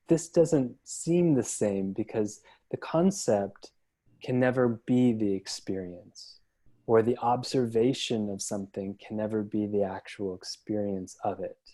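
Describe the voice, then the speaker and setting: A man with a mellow, fairly low voice moderates a panel over a video call. He talks thoughtfully and feels his way through his ideas, often restarting a phrase. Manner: thoughtful, searching, many restarts. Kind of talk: reflective panel discussion. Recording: online video call audio.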